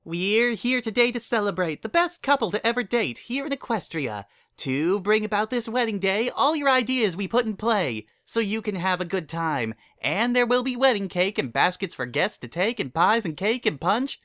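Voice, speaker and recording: Man doing a very high, hyper female party pony. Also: normal speed, hardly any pauses. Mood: singing showily. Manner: excitable, gushing, exclamatory. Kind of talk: dramatic reading of fan fiction.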